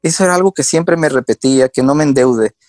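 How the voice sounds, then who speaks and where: A man in his forties from Quito with an Ecuadorian accent, a guest telling his story in a casual interview, animated and often laughing. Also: medium pitch, fast talker, dry recording, clean studio sound. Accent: Quito Ecuadorian Spanish. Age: 40s.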